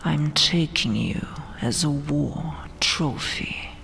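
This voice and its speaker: Woman as a whispering, creaky, domineering vampire queen. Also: sits low, heavily acted. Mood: menacing, commanding. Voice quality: breathy whisper. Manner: taunting, mock-sweet, haughty, drawn-out delivery. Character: domineering, gloating vampire queen, regal conqueror. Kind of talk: whispered ASMR roleplay. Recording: long cavernous reverb, faint hiss. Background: dark tomb ambience.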